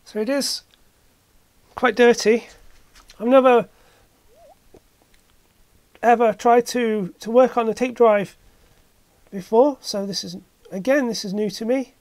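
A woman narrating a hands-on repair demonstration casually, thinking aloud in short, halting phrases. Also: fairly high pitch, a little mellow, no echo. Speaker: hobbyist repair video maker. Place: indoor workshop at a workbench.

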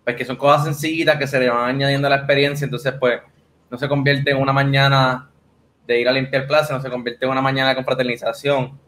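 A man's voice: medium pitch, little pitch movement, fast, fairly bright tone. A young man with a Puerto Rican accent interviewing casually as a podcast host, in informal, colloquial speech. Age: young adult. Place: indoor room on a video call. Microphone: studio microphone on a boom, headphones. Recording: faint background noise and ambience.

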